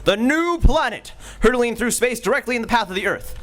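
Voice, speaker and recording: A man's voice: extremely high pitch, lively intonation, a little fast, background noise. A man narrating a live old-time radio play with dramatic, sweeping storytelling.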